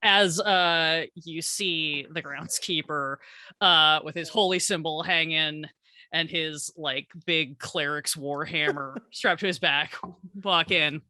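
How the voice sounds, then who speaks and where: A woman's voice: deep voice, steady tone, takes it slowly, sharp tone, dry recording. A woman narrating as game master of a tabletop game, chatty and hesitant with many fillers.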